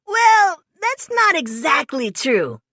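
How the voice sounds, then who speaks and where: A woman voicing an excitable, earnest, goofy young male turtle for a children's storybook. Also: sits high, takes it slowly, no echo, pitched above the performer's own voice, exaggerated voice. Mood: sheepish.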